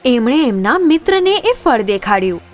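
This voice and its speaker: Animated high-voiced woman storyteller. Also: moderate pace, slight background noise. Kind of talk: scripted children's story narration. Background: background music.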